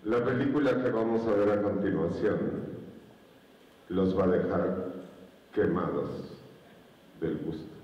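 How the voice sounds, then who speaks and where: A man's voice: low-pitched, steady tone, takes it slowly, pauses often. A man with a Mexican Spanish accent introducing a film from the stage, heard far off in a big hall. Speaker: film presenter. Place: on stage in a cinema auditorium. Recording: very echoey hall, distant, dull sound.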